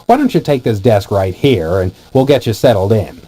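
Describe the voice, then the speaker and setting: Joking newspaperman for game: mellow, animated pitch, easygoing, close dry sound. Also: normal speed, husky, no room sound. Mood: teasing. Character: folksy, teasing male newsroom colleague, relaxed joker. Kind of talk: scripted adventure game acting.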